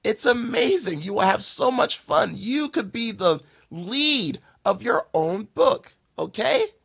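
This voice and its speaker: A man reads a children's story as its host, with very lively, swooping intonation. His fairly high voice has a gravelly edge and a dramatic, expressive delivery. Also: slow pace. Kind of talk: animated storybook narration.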